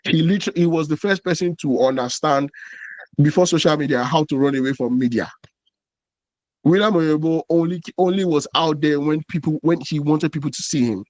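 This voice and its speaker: A man's voice: fairly high pitch, fairly quick, a little mellow, dry recording. Man explaining music history in a dry room. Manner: explanatory, earnest, many fillers. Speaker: podcast co-host. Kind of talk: casual podcast discussion.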